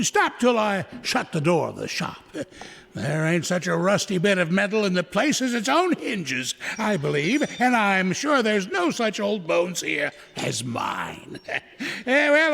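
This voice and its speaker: A man's voice: sits high, fairly bright tone, full character voice. A man playing a gleeful, cackling old rascal who runs a grimy junk shop, in a radio drama. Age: older. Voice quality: creaky, cackling. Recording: reverberant hall sound.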